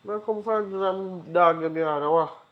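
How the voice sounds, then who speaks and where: A man's voice: high voice, a little slow, highs cut off. An actor playing a defiant, evasive young man, speaking slangy Jamaican English in a naturalistic, dismissive, argumentative way. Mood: irritated, dismissive.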